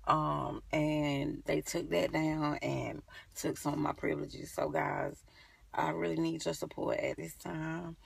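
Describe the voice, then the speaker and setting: A young woman with a creaky, fry-laden voice chats informally to her phone in a car. She rambles and thinks aloud in a casual vlog style, and the phone picks her up from a little distance. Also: sits low, steady tone, measured pace. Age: young adult. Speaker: beauty vlogger. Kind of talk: casual chat to camera. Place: inside a parked car. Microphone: phone at arm's length. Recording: slightly distant phone recording.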